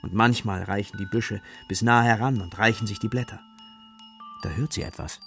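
A man's voice: fairly low pitch, fairly even intonation, normal speed, creaky, a bit bright. A man narrating a scripted children's story as the narrator of a radio play. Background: plucked guitar music.

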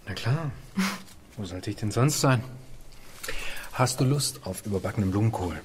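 An actor playing an easygoing, caring husband in naturalistic radio drama dialogue. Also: male voice, lowish voice, animated, normal speed, slight background noise, natural voice. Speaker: supporting actor.

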